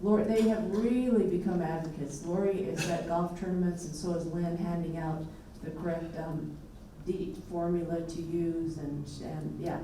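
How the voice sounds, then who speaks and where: A woman's voice: fairly low pitch, steady tone, normal speed, few pauses. Chatty female moderator in an echoey hall. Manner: loose, chatty, with um and I mean. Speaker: forum moderator. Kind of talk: introducing speakers. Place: lecture hall. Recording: echoey hall, mic far off, noisy.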